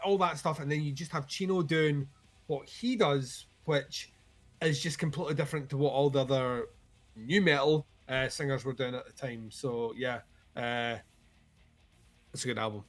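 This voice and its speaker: A man's voice: fairly high pitch, steady tone, moderate pace. A man co-hosting a livestream who rambles through a casual chat about albums and swears freely. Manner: rambling, many fillers and restarts, swears freely. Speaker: co-host.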